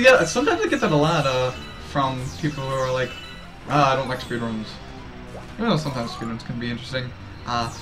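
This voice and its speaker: A man's voice: on the high side, a bit bright. A young man streaming a game from a small room, chatting and giggling as he reads out chat messages. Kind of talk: casual live-stream chat. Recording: game music underneath.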